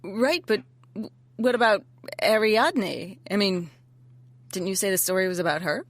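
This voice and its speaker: A woman acting in an audio drama as a wry, sarcastic but warm-hearted skeptical listener, dry and teasing. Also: medium pitch, moderate pace, no echo, natural voice. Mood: wryly amused.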